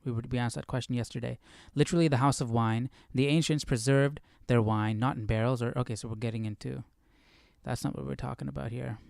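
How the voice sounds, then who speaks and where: A man hosting a live Bible study, reading and commenting casually in a musing, questioning, halting way. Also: mid-range voice, steady tone, gravelly, darkish tone, no room sound, clean studio sound.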